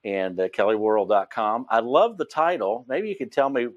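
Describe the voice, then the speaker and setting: A man's voice: medium pitch, moderate pace, dull audio. A man with a West Virginia accent hosting an interview, talking loosely with restarts. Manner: rambling, many fillers and restarts. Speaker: host and Bible teacher. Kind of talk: casual interview.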